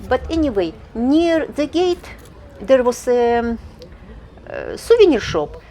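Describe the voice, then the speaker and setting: A woman vlogger narrates a casual walking tour outdoors, thinking aloud with hesitant pauses. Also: fairly high pitch, big pitch swings, mellow, a little hiss or hum. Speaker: travel vlogger. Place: outdoors on a city square.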